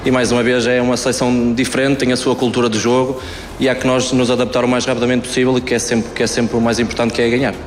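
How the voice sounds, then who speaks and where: A footballer answers reporters in a quick, flat voice and keeps stopping to repeat words. He is recorded in an echoey hall with a long reverb and faint room noise. His voice sits at a middle pitch. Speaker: football player. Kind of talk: press conference answers.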